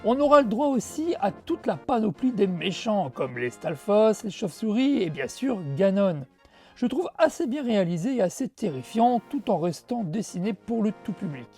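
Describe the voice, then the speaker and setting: Mellow Frenchwoman presenting over background music. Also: mid-range voice, big pitch swings, moderate pace. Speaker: host of a retro video game show. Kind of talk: scripted review narration with casual asides.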